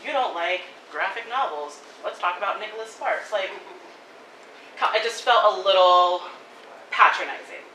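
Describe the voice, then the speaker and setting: Bright-voiced woman, far off in an echoey room. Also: mid-range voice. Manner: animated, fast, many "like" fillers, laughing. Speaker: audience member giving feedback. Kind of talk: casual spoken critique at a live table reading. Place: coffee shop event room. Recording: echoey room, far from the mic, faint noise.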